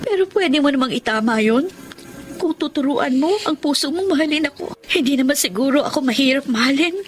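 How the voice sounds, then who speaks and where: A woman's voice: high voice, animated. An actress playing a heartbroken young woman in a radio romance drama, with a tearful, pleading delivery. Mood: desperate pleading. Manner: tearful, pleading, emotionally drawn-out. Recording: background music under the voice.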